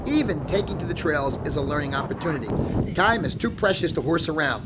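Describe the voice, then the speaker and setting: A man's voice: a little high, big pitch swings, quick pace, very noisy. A man delivering scripted voice-over narration for a sports program in an upbeat, announcer-like way. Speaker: show host and narrator.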